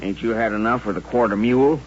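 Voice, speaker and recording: An actor playing a nervous, folksy saloon barkeep with an American Western accent and halting speech in an old radio western. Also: male voice, on the low side, slight background noise, lightly acted. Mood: nervous, placating. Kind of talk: 1950s radio western acting. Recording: dull old-time radio recording.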